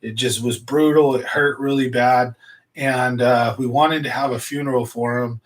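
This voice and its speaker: A man's voice: mid-range voice, fairly even intonation, moderate pace. A man hosting a fishing channel, chatting casually and at length to his viewers in a rambling, easygoing way with plenty of fillers. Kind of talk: casual livestream chat to viewers.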